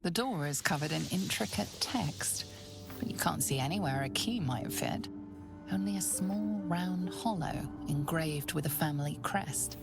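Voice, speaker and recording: A woman narrating a dark fantasy video game as a measured, mysterious storyteller, deliberate in her delivery. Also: low voice, vocal fry, music in the background. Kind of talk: scripted second-person narration.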